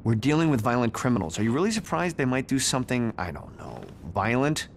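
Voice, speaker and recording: A man's voice: medium pitch, sing-song, fast. A smug, ambitious corporate executive for a video game. He speaks articulately, with sardonic self-assurance. The voice rings with long reverb over some background noise. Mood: cool, sardonic. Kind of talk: video game cutscene acting. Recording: long reverb, noisy ambience behind.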